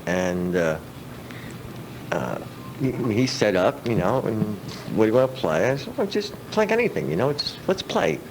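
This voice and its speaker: Low-voiced middle-aged man, noisy radio recording. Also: very animated, moderate pace. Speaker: interview guest, musician. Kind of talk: casual radio interview storytelling. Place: radio studio. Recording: noisy old radio aircheck.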